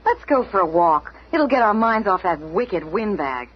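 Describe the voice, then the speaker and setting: An actress playing a gentle, level-headed young heroine in a 1970s radio drama. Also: female voice, mid-range voice, lively intonation, normal speed, lightly acted. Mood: concerned. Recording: very noisy, dull 1970s radio recording.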